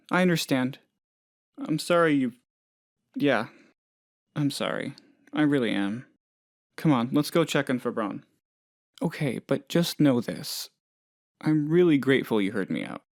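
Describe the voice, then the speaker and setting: Man playing an earnest, swoopy-voiced, bumbling boss. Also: on the high side. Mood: sad, subdued. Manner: chatty, hesitant, earnest, folksy. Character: friendly, insecure, gullible male plant boss, earnest and rambling. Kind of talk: video game character voice lines.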